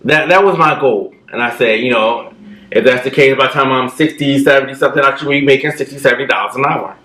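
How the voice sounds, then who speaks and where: A man's voice: mid-range voice, fast. Thirty-something guy chatting laid back, says you know a lot, echoey room. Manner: relaxed storytelling with many fillers. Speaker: co-host. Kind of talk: casual chat.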